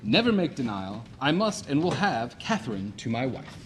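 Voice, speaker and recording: An actor playing a brash, boastful, domineering suitor in a classic comedy audio play, with declamatory theatrical delivery. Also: male voice, mid-range voice, big pitch swings, moderate pace, lightly acted. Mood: cocky, insistent.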